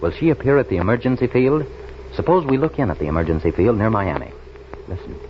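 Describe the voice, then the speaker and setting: A man's voice: on the low side, big pitch swings, quick pace. A man announcing an old radio serial, delivering a theatrical, urgent recap in 1930s narration style. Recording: dull, noisy old radio recording.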